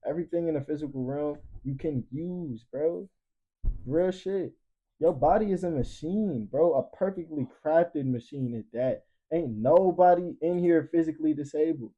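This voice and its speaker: A man's voice: fairly high pitch, mellow, poor audio. A twenty-year-old man talking casually and emphatically, preaching motivation in profane slang with repeated catchphrases. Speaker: podcast panelist.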